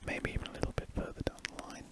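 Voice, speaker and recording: A man with an English accent whispering breathily in an unhurried ASMR ramble, murmuring his thoughts as he draws. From England. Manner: unhurried, murmured thinking aloud. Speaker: ASMR drawing host. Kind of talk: ASMR rambling while drawing. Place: indoors at a lamp-lit desk.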